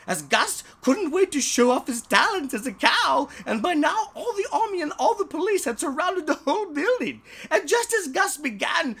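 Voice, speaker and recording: An author reading a children's bedtime story in a theatrical, halting way, with repeated words and joking asides. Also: high-pitched, sing-song, fairly bright tone. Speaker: author reading his own short story.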